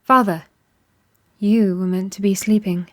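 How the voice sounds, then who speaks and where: A woman playing a resolute, war-weary young princess in a fantasy audio drama, measured, formal and earnest. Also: medium pitch, fairly even intonation, fairly bright tone, slight background noise, close to an everyday voice. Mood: weary, gentle.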